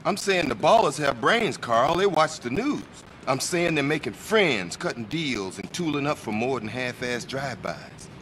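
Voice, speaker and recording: An actor playing a smug, corrupt middle-aged American police officer in a video game, with mock-sincere, menacing delivery. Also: male voice, mid-range voice, animated, moderate pace, hardly any pauses, fairly bright tone, slight background noise, close to an everyday voice, rough recording. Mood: sly, insinuating. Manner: mock-sincere, drawling taunts, theatrical. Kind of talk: video game cutscene acting.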